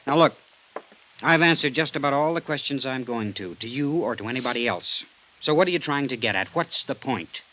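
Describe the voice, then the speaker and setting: A man's voice: medium pitch, animated, a little fast, bright, forceful tone, poor audio. An actor playing an uneasy, defensive young man in an old-time radio drama. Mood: defensive, irritated. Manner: hesitant, defensive.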